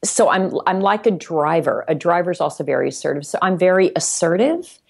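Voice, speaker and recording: Woman guest on a podcast, lowish voice, explains stuff step by step, casual. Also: expressive, a little fast, vocal fry. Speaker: podcast guest, sales executive. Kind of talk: casual conversation.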